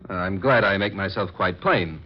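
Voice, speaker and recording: A man's voice: deep voice, moderate pace. An actor playing an indignant wronged husband who defies his rival, formal and melodramatic, in 1940s radio horror acting. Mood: stern. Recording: muffled vintage 1940s radio recording.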